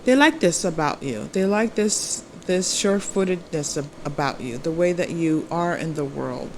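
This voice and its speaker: A woman host speaking casually to viewers as a tarot reader, gently reassuring and halting as she reflects. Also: sits low, low-quality recording. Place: temporary indoor recording spot. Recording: steady air-conditioner hum behind voice. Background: air conditioning running.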